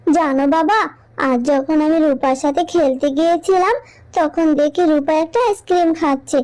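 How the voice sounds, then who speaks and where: A woman's voice: sits high, steady tone, moderate pace, warm tone, close to an everyday voice. A woman voicing a sweet little girl animal in a children's fable cartoon, childlike and wheedling. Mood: plaintive.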